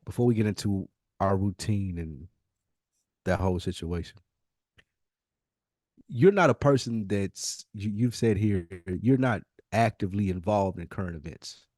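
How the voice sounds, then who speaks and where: A man's voice: on the low side, a little slow, a little mellow, dry recording. A man co-hosting a podcast in casual chat, thinking aloud reflectively and circling back over his words. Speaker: podcast co-host.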